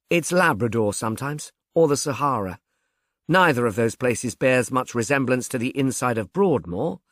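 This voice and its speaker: A man voicing a calm, matter-of-fact police detective who explains things in measured, wordy audiobook dialogue. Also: mid-range voice, no echo, clean studio sound.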